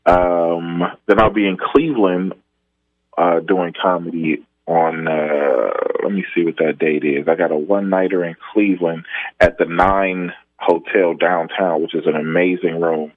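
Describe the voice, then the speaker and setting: Low-voiced male caller, easygoing and rambly, heard through a phone line. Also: steady tone, measured pace, no echo. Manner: rambling, filler-heavy, easygoing. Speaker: phone-in comedian guest. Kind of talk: casual phone interview. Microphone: telephone.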